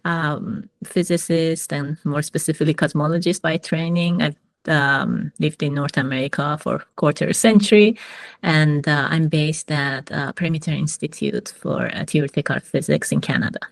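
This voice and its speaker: Woman from Iran, low and gravelly, hesitant panel speaker, dry sound. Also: steady tone, moderate pace, no room sound. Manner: hesitant, many fillers. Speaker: physicist and mentoring programme founder. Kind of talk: online panel talk.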